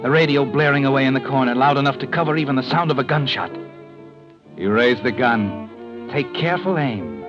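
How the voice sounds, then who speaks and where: An actor playing a sardonic, ominous narrator who tells an old-time radio mystery in the second person. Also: male voice, medium pitch, expressive, brisk, close to an everyday voice. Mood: ominous, suspenseful. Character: sardonic male narrator, cool, ominous voice of conscience. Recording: muffled old radio recording, music behind.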